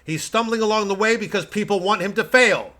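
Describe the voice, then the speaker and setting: A man acts out an American right-wing pundit in a satirical podcast rant. The voice is sharp and forceful, full of bombast and indignant self-importance. He repeats himself and pauses heavily for emphasis. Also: high voice, pitched above the performer's own voice. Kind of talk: satirical political podcast monologue.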